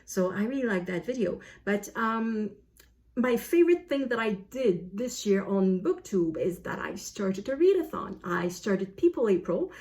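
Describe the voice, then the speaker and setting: Chatty woman vlogger, medium pitch. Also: lively intonation, unhurried, a little mellow. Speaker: book vlogger. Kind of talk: casual chat to camera.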